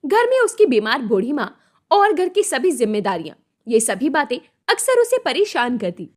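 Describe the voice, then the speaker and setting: A woman's voice: high-pitched, big pitch swings, moderate pace, darkish tone. A woman narrating a scripted moral story as its storyteller.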